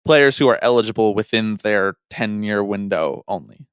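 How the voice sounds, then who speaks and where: A man's voice: on the low side, moderate pace, a bit bright, clean tone, no room sound, studio quality. A man co-hosting a podcast in casual, chatty banter with a jokey manner. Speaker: podcast co-host.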